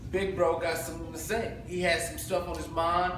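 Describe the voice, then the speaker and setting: A fairly high-voiced man jokes his way through the intro in a relaxed tone. The sound is distant and very echoey, with noise in the background. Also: moderate pace. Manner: joking, laid-back banter. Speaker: realtor co-host. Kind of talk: casual promotional chat. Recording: echoey room from far off, noisy.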